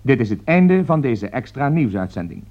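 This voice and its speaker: An actor playing a grave radio newsreader giving an emergency bulletin in formal, measured Netherlands Dutch. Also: male voice, mid-range voice, sing-song, a little hiss or hum, lightly acted. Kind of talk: 1960s radio drama news bulletin. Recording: dull vintage 1960s radio recording.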